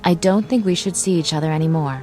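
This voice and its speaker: An actress playing an earnest, weary young social worker and dutiful daughter, speaking in a restrained, educated way in a radio drama. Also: female voice, lowish voice, fast, darkish tone, natural voice. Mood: firm, weary. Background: faint music.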